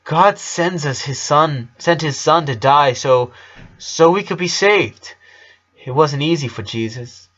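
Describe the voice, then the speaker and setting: A man voicing an earnest young man who speaks haltingly and consoles a boy in a story reading. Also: on the high side, lively intonation, fairly bright tone, dull audio, voice pushed up, heavily acted. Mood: earnest, preaching.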